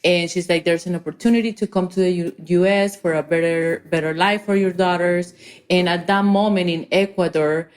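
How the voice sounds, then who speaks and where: A woman's voice: fairly low pitch, fairly even intonation, normal speed. A woman from South America speaking conversationally as an interview guest. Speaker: podcast guest, actress and producer.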